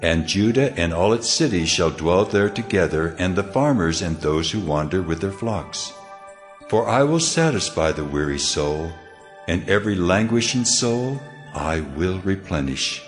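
An actor playing God, solemnly declaring promises in a measured dramatized scripture reading. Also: male voice, deep voice, animated. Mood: solemn, assuring. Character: God speaking, solemn male divine voice of promise. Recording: long cavernous reverb, music behind.

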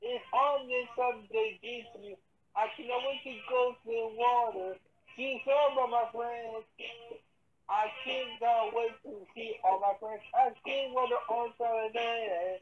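A person from Florida improvising repetitive, chanting raps and bickering in a halting way. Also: mid-range voice, fairly even intonation. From Tampa, Florida. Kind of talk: improvised rapping and bickering in a home-made prank series. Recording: dull lo-fi audio with music, radio-like.

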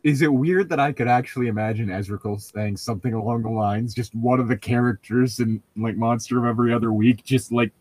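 A man's voice: medium pitch, steady tone, fairly quick, few pauses, a little mellow, slightly rough, no room sound. A man co-hosting a podcast in casual chat, opinionated and often laughing as he talks. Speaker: podcast co-host.

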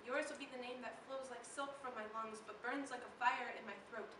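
A young woman performing slam poetry in a dramatic, deliberate way, her delivery moving between hushed and intense. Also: fairly high pitch, steady tone. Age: teenager or young adult. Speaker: slam poet. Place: live poetry slam in a room. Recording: echoey room, distant mic, faint noise.

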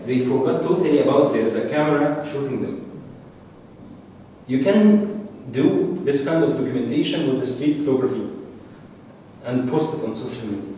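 Middle-aged Egyptian-accented male lecturer, halting, mic'd distantly in a reverberant dark screening room. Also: mid-range voice. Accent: Egyptian Arabic. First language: Arabic. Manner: halting, repeats words, animated. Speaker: masterclass speaker. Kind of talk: informal lecture to an audience. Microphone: handheld mic, filmed from the back of the room. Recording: echoey room, distant mic, faint hiss.

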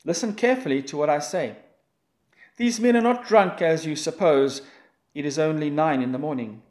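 A man's voice: on the high side, a little mellow. Solemn man leading prayer and scripture. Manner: solemn, measured liturgical reading. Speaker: pastor. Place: church.

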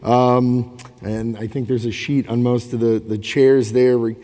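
A man's voice: medium pitch, level tone, a little slow, mellow. A man in his thirties giving informal opening remarks as a panel moderator at a podium. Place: large convention hall stage. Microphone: podium microphone. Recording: hall reverb from a PA.

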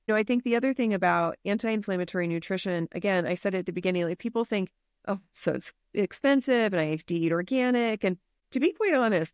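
A woman's voice: mid-range voice, dry recording, studio quality. A woman health and wellness coach, a podcast guest, talking in an earnest, encouraging, practical way. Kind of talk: podcast interview.

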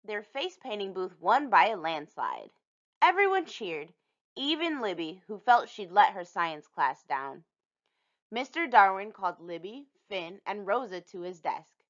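A woman reading a children's picture book aloud in an expressive storytelling manner. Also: medium pitch, unhurried, lo-fi sound. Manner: animated, storytelling delivery for young children.

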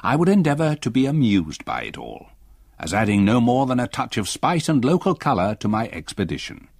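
An actor playing an older English solicitor narrating his memories in a radio drama, in a measured, expressive literary style. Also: medium pitch, no room sound, studio quality. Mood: wry, reflective. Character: older solicitor recalling his haunted past.

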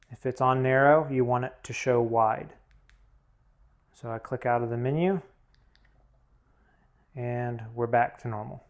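Guy doing a how-to demo, regular mid voice, explains it plainly step by step. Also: mid-range voice. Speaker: instructor, demonstrator. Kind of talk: hands-on tutorial narration.

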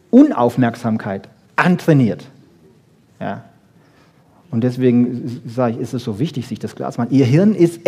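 Animated male lecturer, slightly husky and mellow. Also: medium pitch. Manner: emphatic, rhetorical questions and repeated check-ins. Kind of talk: live popular-science lecture, colloquial.

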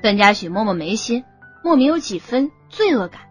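A woman's voice: on the high side, sing-song, moderate pace, slightly rough, soft background music. A woman narrating a romance novel as a scripted audiobook.

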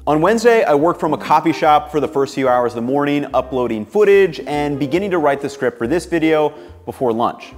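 A young man in his twenties, upbeat and relaxed, narrating his home cooking and chatting to the camera in his kitchen. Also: fairly high pitch, a little fast, hardly any pauses, faint music behind. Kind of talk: cooking vlog voice-over and casual to-camera chat.

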